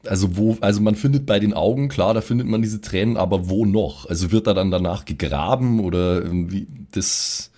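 Male podcast player, fairly low voice, casual brainstorming, tentative and loosely phrased. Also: a little fast, hardly any pauses, warm tone.

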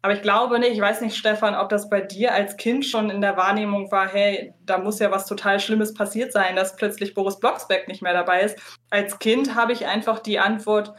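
A woman's voice: mid-range voice, fairly even intonation, normal speed, fairly bright tone. A woman in a casual podcast conversation, talking in a wordy, explanatory way. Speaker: podcast co-host appearing as guest.

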